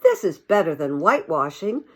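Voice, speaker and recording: A woman's voice: low-pitched, big pitch swings, normal speed, darkish tone, slightly rough, exaggerated voice. A woman voicing a small, impatient, jolly male mole in a storybook, exclaiming and muttering cheekily. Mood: delighted.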